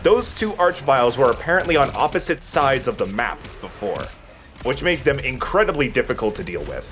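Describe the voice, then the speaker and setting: A man's voice: mid-range voice, very animated, brisk, fairly bright tone. A man giving casual commentary while he plays a video game, speaking as the host of a let's play. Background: game music.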